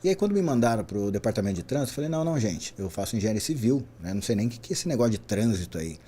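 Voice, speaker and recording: A Brazilian man in his forties speaks as a podcast guest, with a mellow, somewhat husky voice. He tells his story in an animated, chatty way, often checking in with a quick question. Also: on the low side. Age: 40s. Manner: animated, frequent "né?" tags. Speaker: guest, traffic safety specialist. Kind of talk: relaxed storytelling interview.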